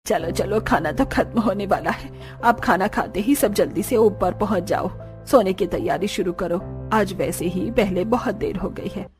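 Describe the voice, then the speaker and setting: A woman's voice: mid-range voice, normal speed, a little mellow, faint music behind, voice pushed down, close to an everyday voice. A woman voicing an older village mother-in-law in a cartoon, sociable, gossipy and used to directing others. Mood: bossy, brisk. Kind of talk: Hindi cartoon story dialogue.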